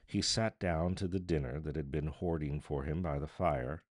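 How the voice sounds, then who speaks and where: A man narrating literature as an audiobook reader, theatrical, deliberate and solemn. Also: rumbling low, dry recording, studio quality.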